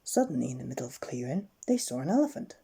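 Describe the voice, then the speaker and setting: A woman reading a bedtime story aloud with expressive, dramatic narration. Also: rumbling low, racing, vocal fry, slightly rough.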